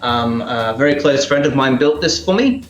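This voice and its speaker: A man's voice: mid-range voice, lively intonation, normal speed, roomy sound, muffled recording, faint music behind. A man being interviewed as a sound effects maker, casually and hesitantly explaining and demonstrating how he works. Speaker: radio drama maker and sound effects artist, interview guest.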